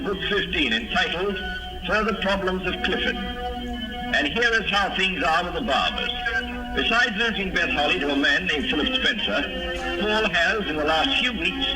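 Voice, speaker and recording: A man announcing and narrating an old radio family serial in a formal, measured storytelling manner. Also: sits high, poor audio. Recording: old radio broadcast with music.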